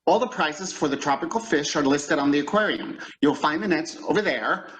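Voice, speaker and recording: An actor plays a harried shop supervisor in a radio comedy. His voice is a little high for a man, plain and instructive. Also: fairly quick, fairly bright tone, close to an everyday voice. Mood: weary, instructing. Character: harried, matter-of-fact male pet department supervisor. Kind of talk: old-time radio comedy acting.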